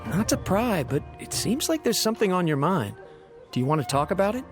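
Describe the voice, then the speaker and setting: A man's voice: sits high, very animated, fairly quick. An actor playing a polite, earnest young man, a considerate traveling companion in a video game. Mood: concerned. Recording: faint music behind voice.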